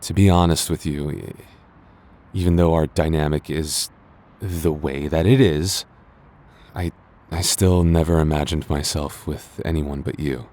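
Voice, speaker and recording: A man's voice: very deep voice, steady tone, unhurried, darkish tone. A man playing a teasing, easily embarrassed childhood friend confessing love in a boyfriend roleplay, stammering and hesitant. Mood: embarrassed, sincere. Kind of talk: boyfriend roleplay audio drama.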